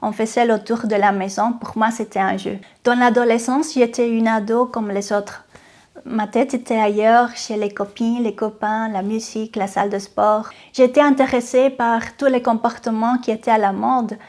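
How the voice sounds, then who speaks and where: A woman's voice: fairly high pitch, fairly even intonation, a little mellow. Spanish-accented woman giving a testimony. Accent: Venezuelan Spanish. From Venezuela. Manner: earnest, unhurried, with frequent hesitations and restarts. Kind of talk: personal testimony to camera. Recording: faint music behind the voice.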